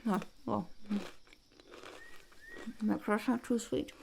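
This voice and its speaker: A heavily creaky, gravelly goblin woman, played by a female performer, murmurs in a breathy half-whisper. She is smug, blunt and unhurried, like a small-time peddler in an ASMR roleplay. The recording carries a faint hiss with crinkling behind the voice. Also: medium pitch. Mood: smug, matter-of-fact. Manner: speaks of herself in third person, unhurried, blunt. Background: crinkling wrappers and clicks.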